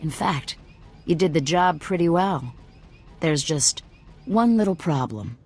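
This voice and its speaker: An actress playing a wary, commanding female leader of a rebel cell in a video game, with a brisk, probing, businesslike manner. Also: low-pitched, animated. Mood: suspicious. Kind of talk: video game dialogue acting.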